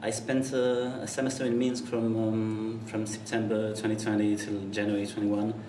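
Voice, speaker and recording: A young man from Belgium, a guest photographer, chatting in a relaxed, laid-back way and laughing often. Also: lowish voice, moderate pace. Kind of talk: casual chat. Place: café. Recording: far-off mic in an echoey café with noise.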